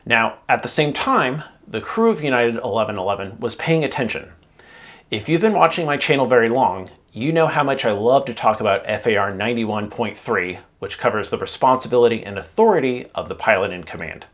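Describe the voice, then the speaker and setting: A man hosting an aviation channel, narrating an explanatory video in a measured way with emphasis on key points. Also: medium pitch, expressive, a bit bright.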